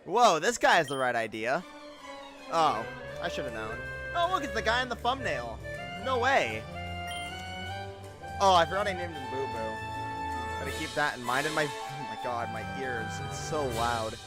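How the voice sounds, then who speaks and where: Expressive higher-voiced man streaming live. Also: moderate pace, over music, low-quality recording. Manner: self-deprecating, rambling. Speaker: livestream host, gamer. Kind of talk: casual livestream chat over gameplay. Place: live game stream, music behind the voice.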